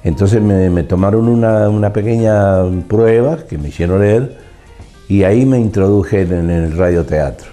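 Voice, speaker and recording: An older man with a Mendoza Argentine accent, reminiscing haltingly in an interview as a veteran radio actor. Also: lowish voice, dark tone, soft background music. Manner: halting, repeats words as he searches.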